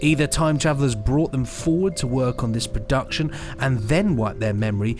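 A man's voice: medium pitch, normal speed. A man co-hosting a podcast, chatting and reading aloud in a presenter's style. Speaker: podcast co-host. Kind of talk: podcast chat and reading listener mail aloud. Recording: music bed behind. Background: clear music.

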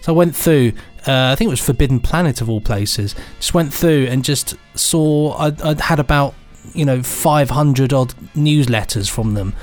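A British man co-hosting a podcast in casual, rambling comic banter with a deadpan, mock-indignant manner. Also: medium pitch, moderate pace, hardly any pauses, faint music behind. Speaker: podcast co-host.

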